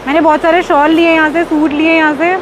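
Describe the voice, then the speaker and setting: A woman's voice: high-pitched, little pitch movement, fast, noisy background. A woman chatting casually and playfully in an informal, teasing way, as a travel vlogger. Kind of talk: casual, playful vlog chat. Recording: outdoor vlog with music underneath.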